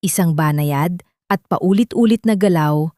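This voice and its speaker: A woman's voice: lowish voice, a little slow, mellow, no room sound, studio quality. A woman narrating a scripted audio drama in a dramatic storytelling style.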